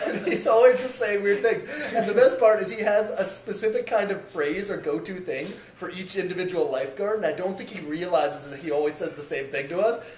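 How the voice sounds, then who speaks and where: A man's voice: high voice, fairly quick, hardly any pauses, a little mellow. A young man telling rambling stories on a casual podcast and laughing as he goes. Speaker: podcast co-host. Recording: echoey room.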